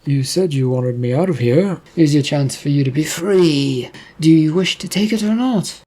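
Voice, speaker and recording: Sly possessing demon, slow taunting delivery, husky low tone, read by a man. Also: animated, dark tone. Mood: coaxing sly. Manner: taunting, coaxing, drawn-out.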